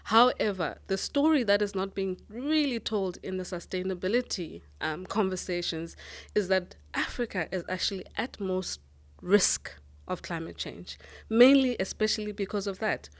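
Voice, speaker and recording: A woman from Lesotho, a managing director answering interview questions earnestly, often pausing with ums. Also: medium pitch. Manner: earnest, thoughtful, with frequent ums and restarts.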